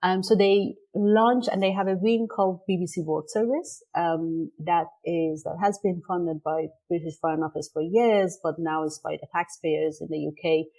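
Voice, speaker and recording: A woman's voice: on the low side, fairly even intonation, moderate pace, few pauses, dark tone, rough recording. A middle-aged woman from Iran, a native Persian speaker, telling her story as an interview guest with frequent ums and restarts. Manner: thoughtful, many ums and restarts. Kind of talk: casual interview conversation.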